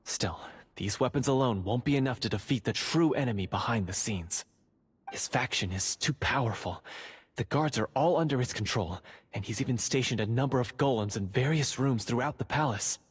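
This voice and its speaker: An actor playing a secretive male dungeon guard who is a covert ally, speaking in a hushed, urgent, earnest way. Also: medium pitch, normal speed, husky. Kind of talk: game voice acting.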